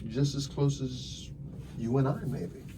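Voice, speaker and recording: A man playing a calm, methodical, sardonic police detective in an ASMR roleplay, talking deliberately with drawn-out phrasing and wry asides. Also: medium pitch, natural voice. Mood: measured, dry. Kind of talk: slow ASMR roleplay acting. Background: music.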